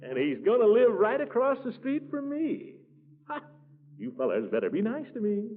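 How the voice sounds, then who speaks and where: A man's voice: sits high, huge pitch swings, normal speed, exaggerated voice. A man playing a blustering, pompous small-town uncle with an exclamatory manner in a 1940s radio comedy. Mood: gleeful. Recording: muffled narrow-band 1940s radio recording.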